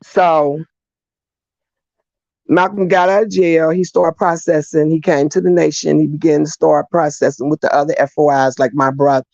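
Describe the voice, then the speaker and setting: A woman guest recounting history in an unhurried, conversational manner, with a gravelly edge to her voice. The audio is dull and lo-fi, and there is no room echo. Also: sits low, steady tone, a little mellow. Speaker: guest speaker.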